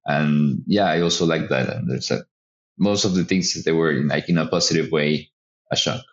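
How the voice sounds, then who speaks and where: A man from El Salvador, a podcast guest answering in a relaxed, hesitant way with many fillers. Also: a bass voice, moderate pace, dull audio. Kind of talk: casual interview answers.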